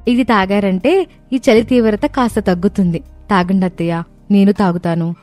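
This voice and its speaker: A woman's voice: mid-range voice, normal speed, darkish tone, soft background music, lightly acted. A woman voicing a young, practical daughter-in-law in a cartoon story, with an emphatic manner. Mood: concerned.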